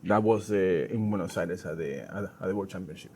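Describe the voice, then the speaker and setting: A middle-aged man with an Argentine accent, a native Spanish speaker, chatting casually and haltingly as a tango dancer being interviewed. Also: sits low, mellow. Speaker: professional tango dancer and teacher, interview guest. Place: outdoors in a garden. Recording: noisy outdoor ambience.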